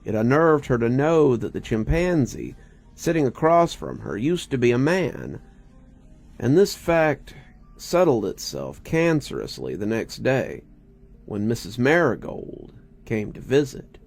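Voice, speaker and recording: Slow, gravelly male story narrator. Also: medium pitch, sing-song, a little mellow. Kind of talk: dramatic scripted story narration. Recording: music bed under narration.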